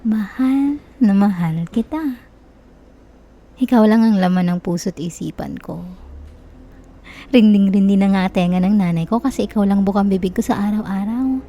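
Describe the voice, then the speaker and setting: A young woman with a Filipino accent playing a possessive, manipulative, lovesick stalker in an intimate ASMR roleplay, softly coaxing and teasing with quiet menace. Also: mid-range voice, normal speed, long pauses, natural voice. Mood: lovesick, insistent. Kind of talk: Tagalog-style ASMR roleplay, intimate close address.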